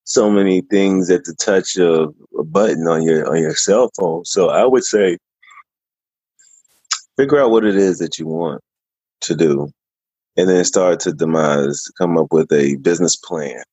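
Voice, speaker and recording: Deep-voiced man, easygoing interview guest. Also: darkish tone, slightly rough, no echo, highs cut off. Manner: easygoing, measured, with frequent pauses. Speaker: podcast guest. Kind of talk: casual interview answers.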